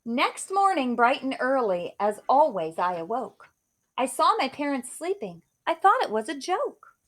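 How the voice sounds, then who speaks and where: A woman's voice: high voice, sing-song, a little slow, fairly bright tone. A woman reading a rhyming children's story aloud in a theatrical, emphatic way. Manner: theatrical, emphatic delivery of rhymes. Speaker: storybook reader.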